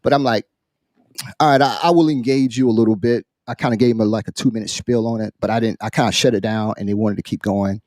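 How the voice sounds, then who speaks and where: A man hosting a casual podcast chat, halting and repeating phrases as he builds up his questions in an animated way. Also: fairly low pitch, no echo, clean studio sound. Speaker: podcast host posing a question.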